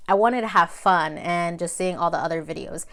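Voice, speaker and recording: A woman's voice: fairly low pitch, moderate pace, pushed and bright. A woman who is a native Spanish speaker, chatting casually in an advice vlog, conversational and repeating phrases for emphasis. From Mexico. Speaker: video creator.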